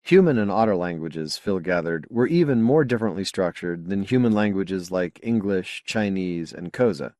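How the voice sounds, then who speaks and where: A man reading a science fiction novel as an audiobook narrator, with measured, clearly phrased delivery. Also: low voice, lively intonation, a little mellow, no echo, studio quality.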